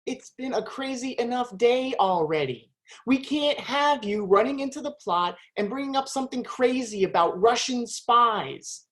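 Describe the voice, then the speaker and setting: A woman's voice: a little high, animated, moderate pace, close to an everyday voice. A woman playing a fed-up playwright complaining about her story going off track, in an amateur stage play. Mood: exasperated. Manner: exasperated, complaining. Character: exasperated playwright. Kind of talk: amateur theatre acting.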